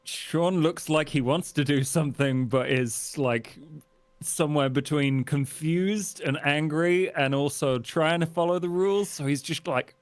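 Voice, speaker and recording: A man plays a tabletop roleplaying game. His voice is a bit higher than most men's, in a dry recording. He improvises casually, hesitating while he finds the words. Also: measured pace, dry recording.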